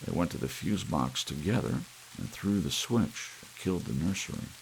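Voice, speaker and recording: Deep, gravelly male storyteller. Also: expressive, moderate pace, rough recording. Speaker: audiobook narrator. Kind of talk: soft dramatic story reading. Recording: audible hiss with rain-like noise. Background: soft rain.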